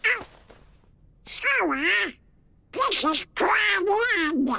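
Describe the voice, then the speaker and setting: Very high, squawky cartoon duck. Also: male voice, sing-song, drawn-out words, creaky, sharp tone, heavily acted. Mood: curious. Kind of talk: cartoon game cutscene acting.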